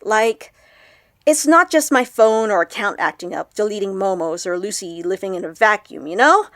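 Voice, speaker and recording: A woman playing an awkward, excitable young woman vlogger in an audio drama, chatty and expressive. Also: medium pitch, normal speed, dry recording, studio quality, lightly acted. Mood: chatty, troubled. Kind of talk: audio drama acted as a confessional vlog.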